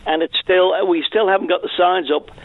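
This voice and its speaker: Gravelly older-sounding guy ringing in, bit higher pitch, jokey, phone-line sound. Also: steady tone, brisk, no echo. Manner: chatty, joking. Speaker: caller. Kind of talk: phone-in call, casual chat. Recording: dry tinny phone line.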